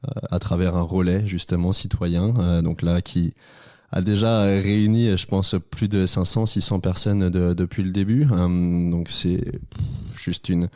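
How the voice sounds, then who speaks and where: A man's voice: low voice, little pitch movement, unhurried, darkish tone, dry recording, clean studio sound. A man, a radio guest, explaining at length with many fillers. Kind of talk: radio interview.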